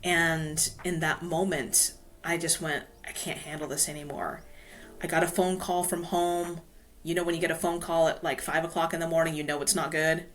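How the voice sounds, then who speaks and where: Low-voiced chatty woman guest. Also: level tone, normal speed, soft background music. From Hawaii. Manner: chatty, many "you know" fillers. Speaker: guest author. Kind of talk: casual podcast interview.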